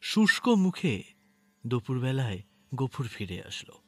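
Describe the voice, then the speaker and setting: A man narrating a literary story in a measured, expressive, dramatic way. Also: medium pitch, dry recording. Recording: music bed under narration. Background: background music.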